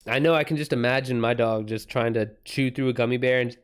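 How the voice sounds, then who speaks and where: A man's voice: medium pitch, fairly even intonation, fast, clean tone. Casual man chatting on a livestream. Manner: informal, slangy, swears casually. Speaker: livestream host.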